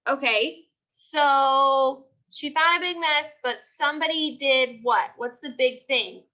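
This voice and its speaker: Bright, high-voiced woman teacher. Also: highs cut off. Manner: prompting, repeats questions and answers slowly for pupils. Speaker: teacher leading a class read-aloud. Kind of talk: interactive classroom lesson, question and answer.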